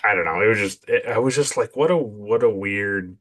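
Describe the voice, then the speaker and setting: Deep-voiced man chatting on a podcast. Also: a little fast, fairly bright tone. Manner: laughing, retells scenes with quoted lines. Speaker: podcast co-host. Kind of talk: casual episode review chat.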